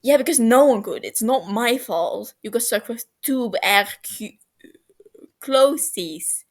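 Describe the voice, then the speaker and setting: A woman voicing a brash, teasing young man in a story, loud, sarcastic and emphatic, with a defensive streak. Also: fairly high pitch, lively intonation, slow, heavily acted.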